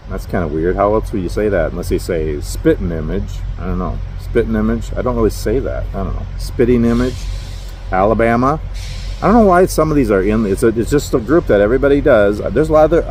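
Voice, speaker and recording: Middle-aged minnesota guy rambling in his truck, lowish voice, bit of road hum behind. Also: darkish tone, poor audio. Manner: rambling, self-deprecating, chuckles often. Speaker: truck driver vlogger. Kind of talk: casual talking-to-camera vlog. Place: parked truck at a highway rest area.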